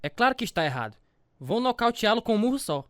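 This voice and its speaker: An actor playing a young scrawny boxer in a radio drama, politely mock-submissive yet quietly cocky. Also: male voice, high-pitched, sing-song, quick pace, clear, resonant tone, dry recording, studio quality. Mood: sly, confident.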